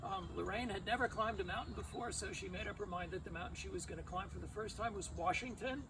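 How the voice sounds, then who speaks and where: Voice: mid-range voice, fast talker. A person giving an informal memorial tribute, telling anecdotes with emphatic repeated phrases. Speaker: speaker at a memorial service. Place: outdoors at a cemetery memorial gathering. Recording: distant outdoor recording with background noise.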